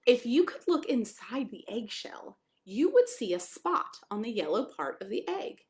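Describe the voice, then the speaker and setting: Female pastor for children's storytime: lively, widely swinging intonation, expressive reading, speaks directly to young listeners. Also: on the high side, a little slow. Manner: expressive, addresses young children with questions and exclamations. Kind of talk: children's picture-book read-aloud with friendly asides.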